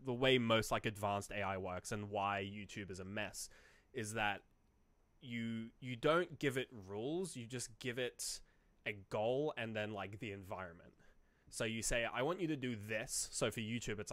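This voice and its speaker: A man's voice: lowish voice, fairly bright tone, no room sound. Rambling man talking films casually. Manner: rambling, halting explanations full of hedges and self-quotes. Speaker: podcast co-host. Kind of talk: casual film discussion.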